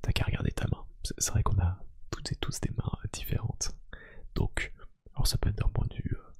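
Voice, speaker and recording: A man speaking in a soft, hushed ASMR roleplay style, gently asking questions in an unhurried, hesitant, lightly teasing way. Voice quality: hushed, breathy, half-whispered. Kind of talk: soft-spoken ASMR roleplay, one-sided conversation.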